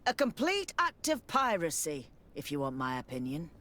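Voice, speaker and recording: A disgruntled lieutenant in a video game. She is outspoken and emphatic, with lively swings in pitch and an indignant manner. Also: normal speed, exaggerated voice. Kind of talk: video game cutscene acting.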